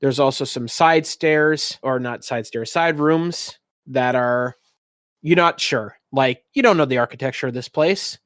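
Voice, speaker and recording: A man's voice: medium pitch, measured pace, fairly bright tone, dry recording, clean studio sound. A man hosting as game master, narrating a tabletop roleplaying game in descriptive, scene-setting detail. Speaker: game master, podcast host.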